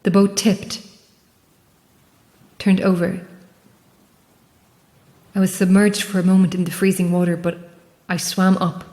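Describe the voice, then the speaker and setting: A woman playing a guilt-ridden, lonely lighthouse keeper in a horror audio drama, speaking in a halting, raw, confessional way. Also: on the low side, level tone, warm tone, close to an everyday voice. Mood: haunted confession. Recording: long reverberant echo.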